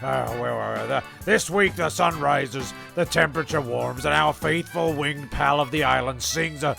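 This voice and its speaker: A man's voice: on the high side, normal speed, vocal fry, music in the background. A man narrating a comic story as host, mock-dramatic and rambling.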